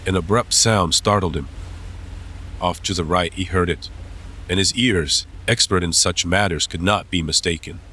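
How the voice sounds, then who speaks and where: Low-voiced male audiobook narrator over ambience. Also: normal speed, bright, forceful tone, a little hiss or hum. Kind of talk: scripted dramatic audiobook narration.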